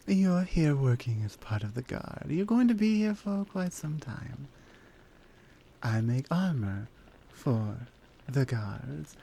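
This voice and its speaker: A woman voicing a flirty, confident lioness in an intimate, teasing ASMR roleplay, softly coaxing and often slipping toward a whisper. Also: low-pitched, heavily acted. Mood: suggestive, assured. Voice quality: soft, breathy. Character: flirty, confident, forward adult lioness armorsmith.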